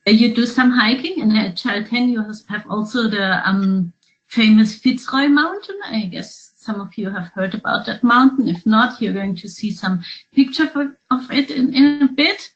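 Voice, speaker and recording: A woman's voice: fairly high pitch, normal speed, dull audio. A woman from Germany with a German accent, a local tour supplier presenting a trip itinerary with many hesitant fillers.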